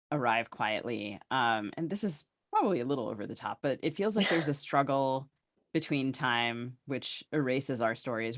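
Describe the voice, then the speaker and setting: A man's voice: medium pitch, fairly bright tone. A man hosting a literary interview, reflective and careful, repeating phrases as he works toward his question. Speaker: podcast host interviewer. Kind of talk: thoughtful literary interview talk.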